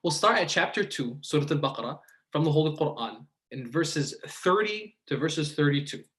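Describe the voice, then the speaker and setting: A man's voice: on the high side, expressive, a bit bright. American man giving a reflective religious lecture. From United States, from the Detroit and Dearborn area of Michigan. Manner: deliberate, with pauses and rhetorical questions.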